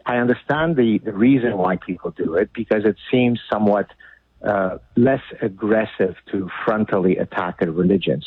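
A man's voice: lowish voice, breathy edge, dry recording. A man from Lebanon whose first language is Arabic, a guest author speaking bluntly and argumentatively in a radio interview over the phone. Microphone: phone line. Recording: tinny phone line.

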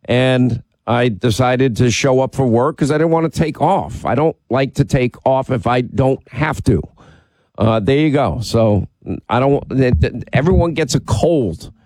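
A man's voice: medium pitch, expressive, unhurried, a little mellow, no room sound. Congested middle-aged man hosting talk radio. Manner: talkative, rambling, self-deprecating. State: has a cold, congested. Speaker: radio talk show host. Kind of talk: casual on-air banter and commentary.

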